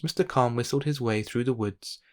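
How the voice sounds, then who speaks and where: A man narrating a children's bedtime story in an expressive, measured read-aloud style. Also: mid-range voice.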